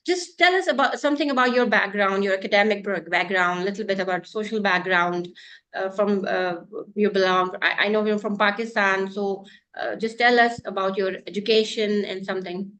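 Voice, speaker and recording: A woman's voice: medium pitch, steady tone, fairly quick, a bit bright. A woman hosting a live interview show, addressing her guest formally and politely. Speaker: talk show host.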